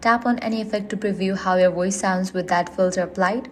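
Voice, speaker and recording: A woman's voice: medium pitch, fairly even intonation, fairly quick, a little hiss or hum. A woman reading a scripted how-to guide in an instructional, step-by-step manner. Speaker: tutorial narrator.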